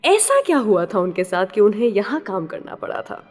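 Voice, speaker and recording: An Indian woman presents a scripted radio show. Her delivery is lively, with pitch that swings freely as she addresses the listeners directly. A long reverb trails her voice. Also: normal speed, husky.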